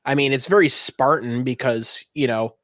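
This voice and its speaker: A man's voice: mid-range voice, normal speed, a bit bright, no room sound, studio quality. A man acting as game master, describing scenes off the cuff in a conversational way. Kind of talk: improvised tabletop game narration.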